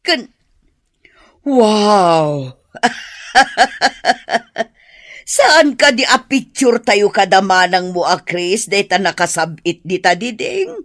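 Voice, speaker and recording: A woman's voice: mid-range voice, animated, extremely slow, fairly bright tone. An actress playing an easygoing, affectionate mother with an Ilocano accent in a comedy radio drama. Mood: teasing, unbothered.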